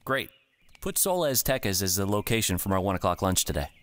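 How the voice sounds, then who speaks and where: A man playing a tired homeowner in a comedy radio play, answering in flat, put-upon replies. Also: deep voice, a little fast. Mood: weary. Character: weary homeowner Frank, adult man.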